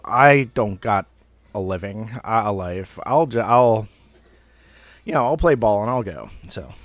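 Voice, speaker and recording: A man's voice: mid-range voice, no room sound. A man in his thirties telling a personal story casually, in a rambling, self-deprecating way with some mild swearing. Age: 30s. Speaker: online storyteller.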